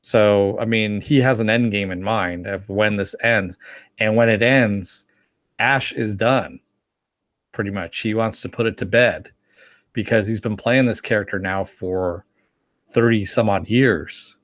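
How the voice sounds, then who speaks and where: Low-voiced man chatting, dry sound. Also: a little slow, no echo. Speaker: co-host. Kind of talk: casual chat, reading viewer comments.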